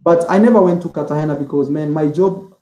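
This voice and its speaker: A man's voice: a little high, fast, warm tone, roomy sound. A man being interviewed as a guest, talking in a loose, rambling way and leaning on "like" and "man" as fillers. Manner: rambling, heavy use of "like" and "man". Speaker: interview guest, travel vlogger. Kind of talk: casual interview chat.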